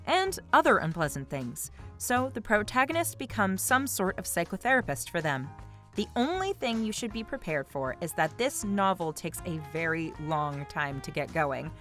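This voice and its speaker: A woman reading scripted video essay narration in a clearly articulated, explanatory way. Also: medium pitch, a bit bright. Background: background music.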